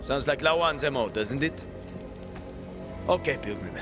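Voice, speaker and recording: A man's voice: medium pitch, expressive, unhurried, lightly acted. Man playing a bitter, cornered apocalypse betrayer. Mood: sardonic, guarded. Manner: sardonic, menacing, curt. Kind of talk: dramatic video game cutscene acting. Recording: music under the voice.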